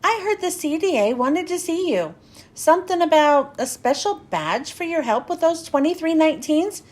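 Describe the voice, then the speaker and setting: A woman's voice: sits high, expressive, moderate pace. A woman voicing a chatty, wisecracking male monster sidekick in a children's storybook. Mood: urging.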